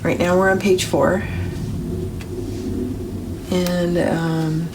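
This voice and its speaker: A middle-aged woman, a little gravelly from grogginess, hosting a papercraft tutorial in a chatty, step-by-step way. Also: lowish voice, level tone, very slow, noisy background. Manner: chatty, step-by-step, self-correcting. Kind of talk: crafting tutorial voice-over.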